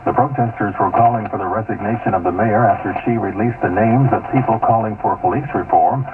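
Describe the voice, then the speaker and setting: A man's voice: on the low side, a little fast, recorded from a distance. A man anchoring the news, reading a scripted radio report. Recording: tinny, noisy AM radio aircheck.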